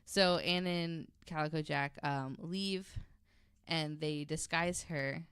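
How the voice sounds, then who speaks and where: A woman with a notably deep voice tells a story on a podcast in a casual, chatty way. Her voice is smooth with plenty of vocal fry, and she drops in wry asides. The sound is dry and close. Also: slow pace, fairly bright tone, dry recording. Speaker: podcast guest host telling a story.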